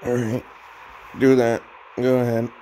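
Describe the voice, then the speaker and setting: A man's voice: mid-range voice, monotone, measured pace, mellow, a little hiss or hum, rough recording. A man giving casual gameplay commentary, with offhand muttered reactions and bits read aloud. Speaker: gaming streamer.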